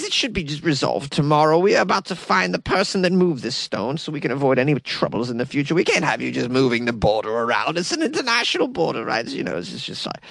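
A man voicing a bumbling small-town Belgian mayor in a mock foreign accent, rambling and halting. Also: on the high side, gravelly, a bit bright, dry recording, heavily acted.

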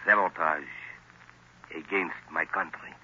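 An actor playing a sinister, commanding professor and hypnotist villain in 1930s radio drama style, speaking slowly and with menace. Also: male voice, sits low, fairly even intonation, pushed and bright, full character voice. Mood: cold, suspicious. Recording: noisy dull 1930s radio recording.

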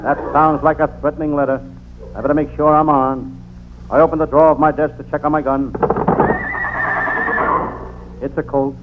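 An actor playing a deadpan, world-weary private detective narrating an old-time radio comedy. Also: male voice, fairly high pitch, fast, close to an everyday voice. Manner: deadpan, punning first-person delivery. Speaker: narrator and lead character. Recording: noisy, narrow-band vintage radio recording.

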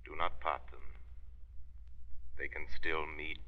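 An actor playing a solemn mystic friend in old-time radio drama, with lofty, poetic diction. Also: male voice, extremely low pitch, fairly even intonation, slow, vocal fry, bright, forceful tone, heavily acted. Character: solemn, mystic man bidding a friend farewell. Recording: dull, band-limited vintage radio recording.

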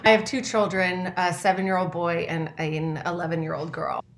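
A woman's voice: fairly low pitch, level tone, normal speed. A chatty woman, telling stories as a podcast co-host. Her voice sounds roomy, with echo around it. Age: 40s. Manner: chatty, storytelling. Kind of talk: casual chat. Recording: echoey room.

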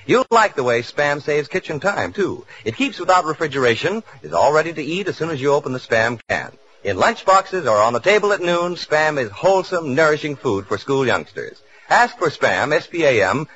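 Bright, animated 1940s male radio announcer. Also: a little high, brisk. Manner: polished, salesmanlike. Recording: muffled 1940s radio broadcast.

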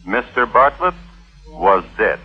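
A solemn, droll narrator of true-crime radio drama. His delivery is deliberate and deadpan, yet it swings widely in pitch. The old radio recording is dull, with music clearly playing behind him. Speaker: host and narrator.

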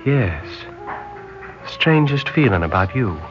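A man's voice: mid-range voice, big pitch swings, takes it slowly. An actor playing a polite, hesitant nineteen-year-old seaman from Northern Ireland in an old radio drama. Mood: wondering. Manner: polite, hesitant, formal British phrasing. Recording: dull old radio recording, music behind.

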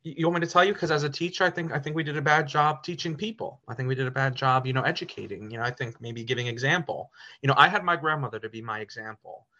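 A man's voice: medium pitch, fast talker, hardly any pauses, pushed and bright, muffled recording. A man who teaches Italian, talking casually on a podcast, rambling with many fillers and restarts. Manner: rambling, lots of "you know" and restarts. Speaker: Italian teacher and parish community member.

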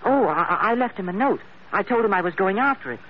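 An eager runaway boy in a vintage radio play. His voice is high, polite and hesitant, full of earnestness. The recording is tinny, like a narrow phone band. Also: animated, fast, slight background noise. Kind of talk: old-time radio drama acting.

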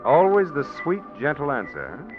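A bitter young man who needles his former lover with sarcasm, and he speaks in a middle-pitched, lively voice. The vintage radio recording sounds dull, with music underneath. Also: moderate pace. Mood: sarcastic. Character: bitter, sarcastic young junior business partner. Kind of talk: 1940s radio drama acting.

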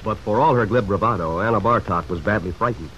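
A man's voice: on the low side, fast talker, fairly bright tone. A man narrating a story in the dramatic style of old-time radio drama. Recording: muffled vintage radio, faint noise.

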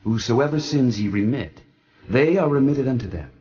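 A man voicing a calm, solemn risen teacher, speaking slowly with gentle authority in a dramatized scripture reading. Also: mid-range voice, breathy edge, muffled recording.

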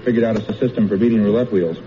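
Easygoing low-voiced man from Ohio. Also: very fast. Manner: easygoing, good-humored answers. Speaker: quiz contestant. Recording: dull old radio broadcast with hiss.

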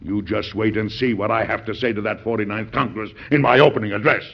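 An actor playing a stubborn, combative president in an old-time radio drama, speaking in an emphatic, oratorical way. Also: male voice, lowish voice, fairly even intonation, fast talker, gravelly. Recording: dull, lo-fi vintage radio recording.

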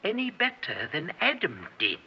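An actor playing a grave, quietly menacing male research colleague in an old radio drama, speaking in a measured, husky way. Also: a little high, wildly swooping pitch, slight background noise. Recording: dull old radio recording.

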